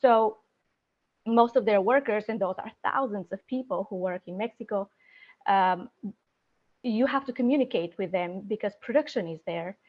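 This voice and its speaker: A woman in procurement speaks as a guest in a career chat. Her delivery is thoughtful and halting and full of fillers. Her voice sits at a middle pitch, and the recording sounds a little dull and muffled. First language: not Spanish; speaks several languages. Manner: thoughtful, halting speech with many fillers, laughs readily. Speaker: procurement specialist, interview guest. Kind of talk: career interview. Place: San Diego area.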